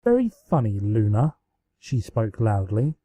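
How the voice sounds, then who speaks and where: A man's voice: lowish voice, huge pitch swings, unhurried, dark tone, dry recording, voice pushed down, heavily acted. A man voicing a regal elder pony princess in a storybook, teasing and mock-menacing as she commands. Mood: amused scolding. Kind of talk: amateur storybook character reading.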